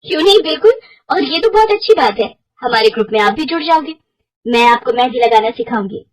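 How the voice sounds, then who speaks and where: A woman acts out a kind young henna artist from a cartoon village. The voice is high and a little husky, earnest and full of reassurance. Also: lively intonation, fairly quick. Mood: cheerful, welcoming.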